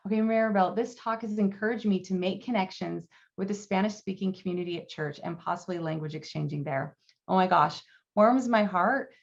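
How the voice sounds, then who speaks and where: A woman's voice: on the low side, creaky, lo-fi sound. A woman in her thirties hosting a live online Q and A, upbeat and encouraging, with plenty of ums and likes. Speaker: language program host. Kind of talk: live webinar Q and A.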